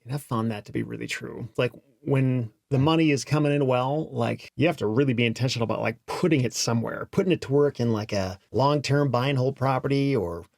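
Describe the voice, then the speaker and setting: A man's voice: mid-range voice, brisk, gravelly, few pauses, a little mellow, dry recording. Laid-back male podcast host, dry sound. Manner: laid-back, says "like" a lot. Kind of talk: casual interview talk.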